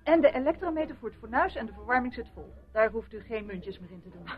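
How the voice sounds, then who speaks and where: A woman's voice: a little high, animated, fast, soft background music, natural voice. An actress playing a helpful, businesslike woman who welcomes guests at a village inn, in a radio drama. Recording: dull old radio play recording.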